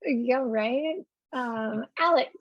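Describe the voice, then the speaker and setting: A woman's voice: a little high, extremely slow, a little hiss or hum. A woman hosting a children's question session as a teacher, speaking informally and encouragingly. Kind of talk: casual moderating of a virtual question session. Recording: dull lo-fi call audio.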